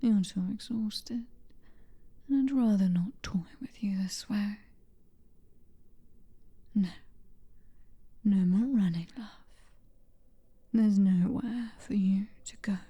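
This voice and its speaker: A woman playing a cruel, possessive vampire mistress in an ASMR roleplay, with a British accent and a breathy, taunting whisper. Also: medium pitch, warm tone. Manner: slow, drawn-out, taunting endearments.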